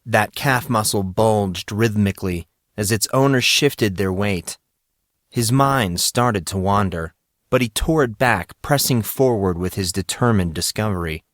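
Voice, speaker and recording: A man's voice: lowish voice, a little slow, a bit bright, no echo, clean studio sound. A man narrating fiction in a scripted, dramatic audiobook style.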